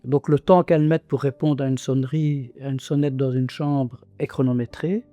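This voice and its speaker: A man's voice: medium pitch, fairly even intonation, moderate pace, warm tone, dry recording, faint music behind. A man in his sixties, born in Congo, giving reflective conversational testimony in a podcast interview, speaking earnestly with many restarts and fillers. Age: 60s.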